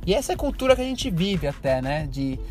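A man's voice: fairly high pitch, big pitch swings, fast, a little mellow. Chatty Brazilian man over music. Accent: Brazilian Portuguese. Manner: friendly, conversational, many fillers and restarts. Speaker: podcast host and Portuguese teacher. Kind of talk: casual reflective podcast monologue. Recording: music playing clearly in the background.

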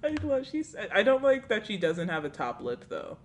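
A woman's voice: mid-range voice, very animated, normal speed, a little hiss or hum. A bubbly, giggly woman co-hosting a casual podcast chat, rambling freely. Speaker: podcast co-host.

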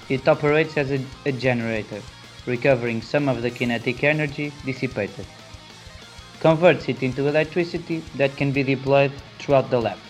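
A man with a Portuguese accent, a native Portuguese speaker, carefully reading a prepared technical explanation aloud with small hesitations. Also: fairly high pitch.

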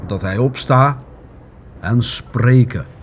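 A man playing a solemn high priest who declaims a funeral oration in a melodramatic radio play style. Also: a little hiss or hum, lightly acted. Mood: solemn, booming. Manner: slow, loud, declaiming.

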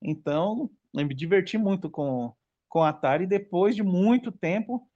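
A man in his late thirties from Brazil talks casually with friends, slowly working through fond memories of childhood games. His voice sits slightly on the high side. The recording is muffled and lo-fi. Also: lively intonation. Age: 30s. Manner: nostalgic, rambling listing of old games. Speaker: podcast participant. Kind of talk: casual chat.

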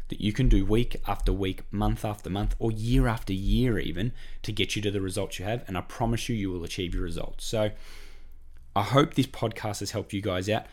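Man, Australian accent, hoarse and croaky, lowish pitch, encouraging, repetitive coaching chat. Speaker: weight-loss coach and podcast host. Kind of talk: solo podcast monologue.

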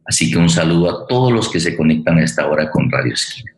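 A man, speaking formally and haltingly as he welcomes listeners and introduces an online radio theatre programme. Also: rumbling low, lively intonation, vocal fry. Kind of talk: spoken introduction to a live online forum. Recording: roomy, echoing sound.

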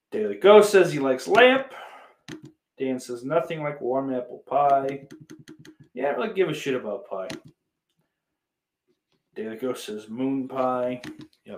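A man's voice: mid-range voice, animated, takes it slowly, long pauses, a little hiss or hum. A man livestreaming in casual chat, rambling and swearing freely while reading out messages from his viewers. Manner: profane, rambling, self-deprecating. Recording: roomy echo.

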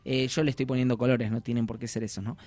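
A man's voice: medium pitch, little pitch movement, fast talker, darkish tone, no room sound. A man with an Argentine accent talking as a coach, explaining things conversationally with informal slang and imagined quotes. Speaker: life coach, guest.

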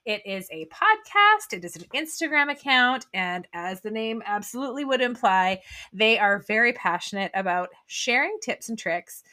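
A woman's voice: a little high, big pitch swings, normal speed, bright, forceful tone. A woman hosting a podcast, with an upbeat, chatty manner, laughing often. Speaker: podcast host. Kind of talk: casual podcast interview.

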